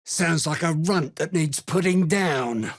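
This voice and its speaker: An actor voicing a gruff, callous duergar slave hunter in a video game, blunt and threatening, with a gravelly voice. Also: male voice, a little high, slow pace, no echo, studio quality. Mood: gruff, sardonic. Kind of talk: video game character voice lines.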